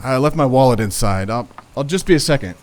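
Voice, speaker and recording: A man playing a smooth-talking, deceitful young playboy in a noir radio play, with casual, slightly hesitant charm. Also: medium pitch, animated, fairly quick, darkish tone, natural voice. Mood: coaxing. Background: music.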